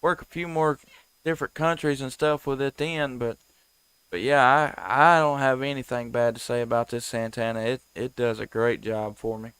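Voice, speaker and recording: Guy, clean smooth voice, real dry close mic, just casually talkin radio stuff. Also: mid-range voice, fairly even intonation, moderate pace, a bit bright, no echo. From Tennessee. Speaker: ham radio operator, live stream host. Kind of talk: casual ham radio chat.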